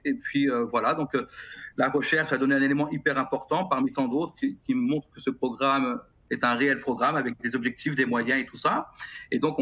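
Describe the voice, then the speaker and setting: A middle-aged man with a French accent answers interview questions earnestly, halting and repeating words as he thinks. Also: medium pitch, vocal fry, pushed and bright, breathy edge. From France. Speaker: guest, music educator. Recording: slightly dull broadcast sound.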